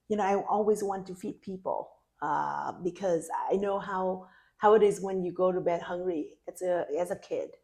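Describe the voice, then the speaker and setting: A woman from Vietnam, a parish council member speaking as an interview guest, earnest and halting. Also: mid-range voice, a little mellow. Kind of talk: casual interview.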